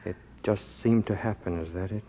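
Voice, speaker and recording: An actor playing a troubled, accusing husband with grave intensity, in 1940s radio drama style. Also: male voice, on the low side, brisk, a little hiss or hum, close to an everyday voice. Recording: dull lo-fi 1940s radio recording.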